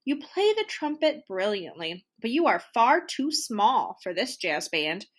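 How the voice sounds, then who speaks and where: A brash jazz cat bandleader played by a woman in a children's storybook. It booms out blunt, emphatic lines in a raised, very animated voice. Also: unhurried. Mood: brash, dismissive. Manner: booming, emphatic. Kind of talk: children's storybook read-aloud.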